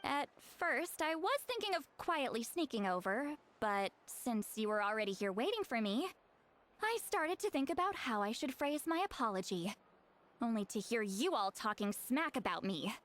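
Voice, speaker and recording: A woman's voice: fairly high pitch, sing-song, normal speed, a bit bright, slight background noise. A woman playing a proud, prickly game shaman who is called granny but seems young, haughty and theatrical. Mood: awkward, explaining. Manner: theatrical, haughty, huffy sighs and throat-clearing. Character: youthful-looking granny shaman, prickly, proud, easily embarrassed.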